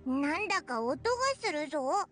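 A woman voicing a tiny, excitable, bossy and cute fairy companion in an anime-style game, blunt and exclamatory like a child. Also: high-pitched, a little fast, loud music behind the voice. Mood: excited. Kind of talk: Japanese anime-style video game acting.

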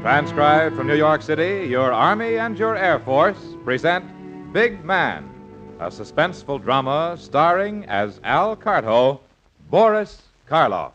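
A male radio announcer introduces a show in declamatory 1950s style. He speaks very slowly, with big swings of pitch. Music plays clearly behind him. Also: big pitch swings, a bit bright, highs cut off.

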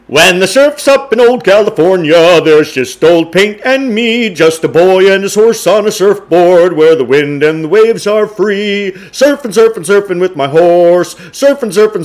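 A man's voice: high-pitched, animated, a little slow, voice pushed up. A man voicing a storybook school announcer who helplessly breaks into silly sing-song. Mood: goofy singing. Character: male school announcer singing and cuckooing helplessly. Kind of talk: storybook character voice.

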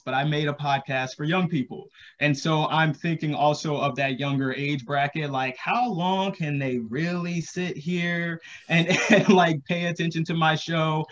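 Higher-pitched man, casual panelist. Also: fairly bright tone. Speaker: podcast creator, panelist. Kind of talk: casual advice on a video panel. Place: small home room, indoors. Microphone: video call.

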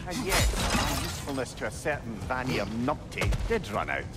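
An actor with a Scottish accent playing a wry, chatty older sage in a video game, wordy and theatrical. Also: male voice, mid-range voice, very animated, normal speed, lightly acted. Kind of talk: video game drama acting. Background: loud music.

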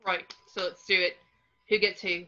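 A woman's voice: lowish voice, flat delivery, moderate pace, bright, forceful tone. A woman voicing a blunt, casual young male wizard who speaks colloquially. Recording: muffled video-call audio.

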